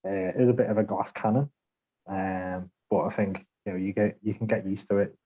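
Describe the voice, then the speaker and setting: Man, low-ish voice, warm and mellow, casual podcast panelist, rambling, self-deprecating. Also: fairly even intonation, brisk, slightly rough, low-quality recording. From Liverpool, England. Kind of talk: casual gaming chat.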